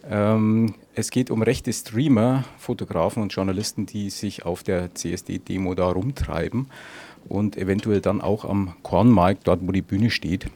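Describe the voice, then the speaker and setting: A man's voice: on the low side, steady tone, a little slow, mellow, slight background noise. A man from an event team giving an informal spoken briefing. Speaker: parade demonstration team member.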